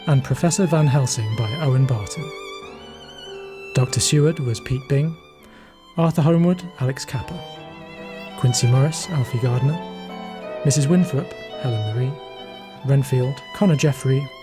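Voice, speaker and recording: A man serves as credits announcer, reading from a script. His voice is medium in pitch, with clear violin music playing behind it.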